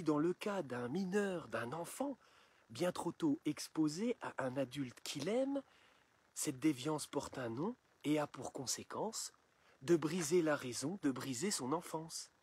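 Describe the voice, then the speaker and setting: A man reciting satirical rhymed verse in a theatrical, measured, declamatory storytelling manner. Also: on the high side, breathy edge. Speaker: poem reciter.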